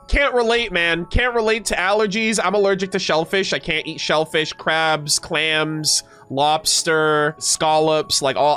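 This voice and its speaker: A woman streamer giving casual live reaction commentary in an excitable, exclamatory, slangy way. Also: sits low.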